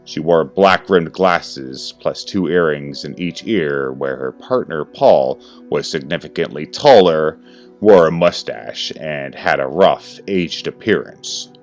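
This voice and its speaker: A man's voice: extremely low pitch, animated, slow pace. A man reading a scripted horror story as its narrator. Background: faint music.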